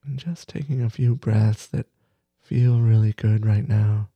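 A man leading a guided meditation as teacher, gentle and soothing, with soft breathy phrases that trail off between long pauses. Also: lowish voice, steady tone, no echo, muffled recording. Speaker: meditation teacher, podcast host.

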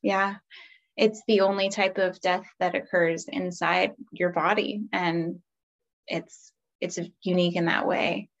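A woman's voice: fairly low pitch, steady tone, unhurried, creaky, lo-fi sound. A woman hosting a podcast interview, chatting casually and affirming her guest often. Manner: warm, affirming, frequent agreeing murmurs. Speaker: podcast host.

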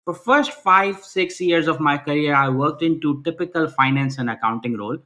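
A man's voice: fairly high pitch, moderate pace, pushed and bright. A man, answering interview questions earnestly over a video call, restarting phrases often and ending points with "right?". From Pakistan. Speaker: guest.

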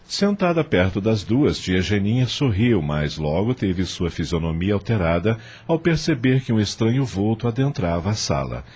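A man's voice: lowish voice, big pitch swings, normal speed, few pauses, a little mellow, husky. A man narrating a scripted radio drama as its storyteller.

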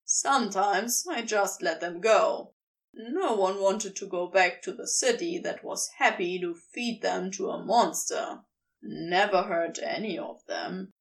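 A woman voicing a wry, pleading male lover in fan fiction, with a flat, drawn-out delivery. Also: medium pitch, creaky, recorded from a distance, voice pushed down. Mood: hurt, exasperated. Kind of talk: fan fiction audiobook character voice.

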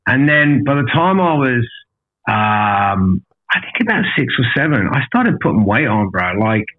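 A man's voice: medium pitch, very animated, a little slow. Blunt, sweary man on a tinny line. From an island outside Australia. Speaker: podcast guest telling life story. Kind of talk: casual candid storytelling.

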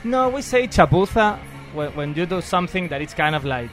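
A man's voice: a little high, animated, brisk, fairly bright tone, soft background music. A man from Spain with a Spanish accent, a guest on the podcast, speaking hesitantly and repeating his words. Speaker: guest contributor.

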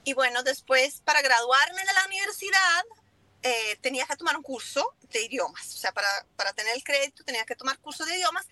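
A woman's voice: high-pitched, animated, a little fast, pushed and bright, dry recording. A woman, a native speaker of Italian and English, talking casually as an interview guest in foreign-accented Spanish and slipping in English words. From Italy and Germany. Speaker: interview guest, business owner.

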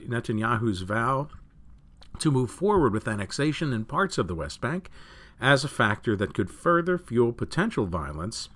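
A man with a fairly low voice reading news articles aloud as a news reader. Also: fairly bright tone.

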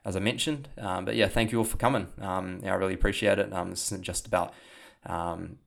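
A man's voice: low voice, steady tone, a little fast, creaky. A young man with a New Zealand accent, a podcast host and coach talking casually with many fillers and restarts. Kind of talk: casual spoken talk and podcast outro.

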